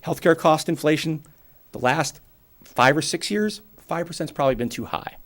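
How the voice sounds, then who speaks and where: A man in his forties with a New England accent, giving a brisk, plainspoken financial presentation to a town board and explaining with everyday examples. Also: on the high side, animated, a bit bright. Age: 40s. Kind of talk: financial presentation at a public meeting.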